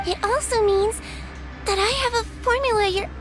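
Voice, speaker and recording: Very high-voiced, childlike little creature nurse. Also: female voice, moderate pace, over music. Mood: composed, explaining. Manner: cute, childlike, precise explaining. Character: small earnest nurse girl, nonhuman Melusine, clever and composed. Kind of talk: video game voice acting.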